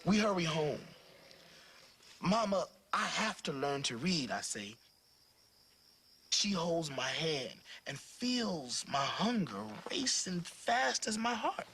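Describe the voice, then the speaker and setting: A man narrating a storybook aloud in an expressive, dramatic way with varied phrasing. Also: fairly high pitch, slow pace, a little hiss or hum. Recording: clear music playing behind the voice.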